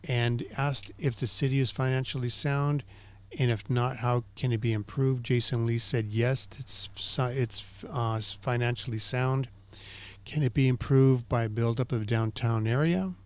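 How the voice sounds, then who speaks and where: A man's voice: medium pitch, steady tone, a little slow, a little mellow. A man hosting a community recap, speaking from notes in a semi-scripted way and stumbling now and then over names and words. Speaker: host of a community recap show.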